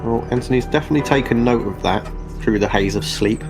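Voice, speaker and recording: A man's voice: medium pitch, fast talker. A man playing a tabletop game, casually describing what his character does. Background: background music.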